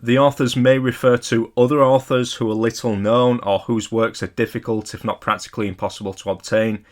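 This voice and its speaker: A man narrating a scripted lecture as an amateur hobbyist video host, in a measured, careful and modest explanatory manner. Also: medium pitch, fairly bright tone. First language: not Polish; English speaker.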